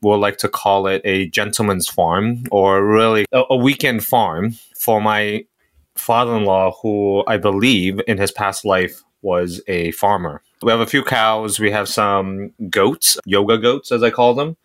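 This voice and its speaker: Thoughtful man from Singapore, lowish voice. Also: fairly even intonation, measured pace, a bit bright. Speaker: interview guest. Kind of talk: casual conversation.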